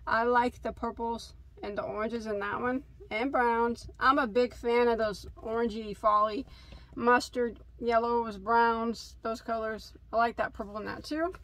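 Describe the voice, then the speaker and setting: Enthusiastic crochet host, a woman in her thirties from Illinois, fairly high voice, chatty and self-mocking. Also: little pitch movement, a little slow, fairly bright tone, poor audio. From Illinois, USA. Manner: chatty, enthusiastic, laughs at herself. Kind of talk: casual yarn haul chat. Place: craft room with yarn shelves, indoors.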